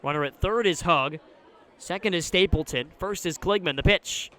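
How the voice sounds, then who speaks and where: Bright, forceful American baseball announcer, male. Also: high-pitched, some chatter behind. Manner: measured description, excited on big plays. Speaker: baseball radio play-by-play announcer. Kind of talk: live sports commentary. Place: outdoor ballpark broadcast position.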